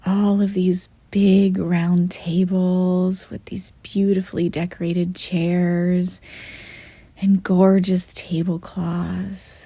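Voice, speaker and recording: Slow, breathy, half-whispering woman guiding meditation. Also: lowish voice, no echo. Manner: gentle, unhurried, with pauses mid-sentence. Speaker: guided meditation narrator. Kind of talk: soft-spoken guided visualization meditation.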